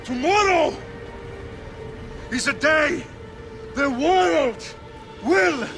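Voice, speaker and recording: A defiant warrior king declaims to his Spartans in a dramatic game scene. His voice climbs very high and moves widely, each word drawn out, with loud music behind. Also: animated, drawn-out words, creaky, exaggerated voice. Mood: rousing, shouted. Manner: declamatory, projecting. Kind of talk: dramatic video game cutscene acting.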